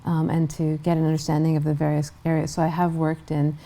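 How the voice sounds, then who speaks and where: A middle-aged woman from Guyana, a human rights director, answering interview questions in a measured way with frequent restarts. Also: low voice, monotone, mellow.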